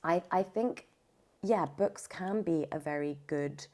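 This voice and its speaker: A woman's voice: fairly low pitch, slow, dark tone. A woman speaking casually as an interview guest, emphatic and often rephrasing as she goes. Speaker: voice actor, audiobook narrator, podcast guest.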